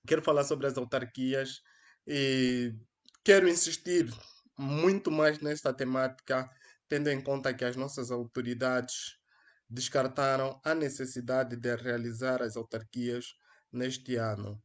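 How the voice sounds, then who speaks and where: A man's voice: mid-range voice, fairly even intonation, unhurried. A young man with an Angolan accent, hosting a solo live stream in an earnest, hesitant way, with many fillers and restarts, speaking into a headset mic. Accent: Angolan Portuguese. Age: young adult. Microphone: headphones with mic.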